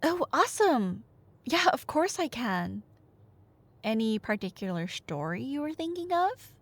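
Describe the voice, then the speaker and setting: A woman performing a casual roleplay monologue as a chatty, nerdy storyteller, rambling playfully with dramatic pauses. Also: fairly high pitch, sing-song, dry recording. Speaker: ASMR roleplay audio performer playing a talkative girlfriend.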